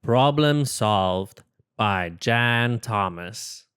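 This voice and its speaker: A storybook reader giving an expressive, animated read-aloud for children. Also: mid-range voice, very slow, smooth, faint music behind.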